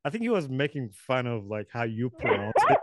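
A man's voice: mid-range voice, wildly swooping pitch, moderate pace, noisy background. A man hosting a podcast interview over a video call, rambling and correcting himself with light chuckles. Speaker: podcast host. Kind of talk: casual interview chat.

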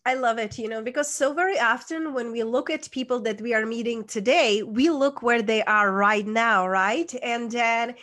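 A woman's voice: on the high side, normal speed, hardly any pauses, a bit bright. A woman with a Czech accent, a guest coach telling her personal story in an interview, earnest and emphatic. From Czech Republic.

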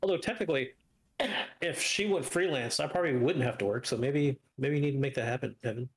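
A man's voice: mid-range voice, fairly quick, husky. A man co-hosting a podcast in casual, rambling chat, teasing and drifting into asides. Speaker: podcast co-host. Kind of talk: casual chat with live chat banter.

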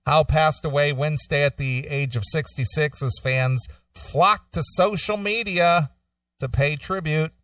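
Informal male co-host, slightly high voice. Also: a little slow, a bit bright, no room sound, clean studio sound, recorded from a distance. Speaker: show co-host. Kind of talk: casual talk, reading news stories aloud.

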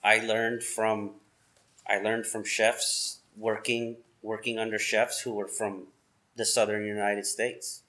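A man's voice: fairly low pitch, flat delivery, slow, sharp tone. A man who is a chef, talking casually and animatedly, rambling with lots of fillers. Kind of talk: casual conversation.

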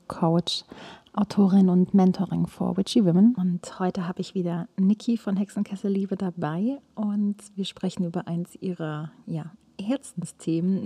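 A woman hosting a podcast, speaking in a friendly, softly spoken way. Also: on the low side, husky, no room sound. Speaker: podcast host.

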